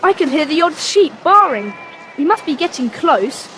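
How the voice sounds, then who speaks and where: A performer acts out a friendly, careful young schoolboy in a children's adventure drama. The voice is high and very lively in its pitch, earnest and well-spoken. Faint outdoor noise sits behind it. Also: unhurried, heavily acted, poor audio. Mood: eager, cautious. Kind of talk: children's audiobook drama acting.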